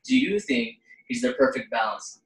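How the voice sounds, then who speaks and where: A man hosting a casual interview in halting, broken-up phrases, recorded in a room at some distance from the microphone. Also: medium pitch, steady tone. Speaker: host, interviewer and singer. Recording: roomy, distant microphone pickup.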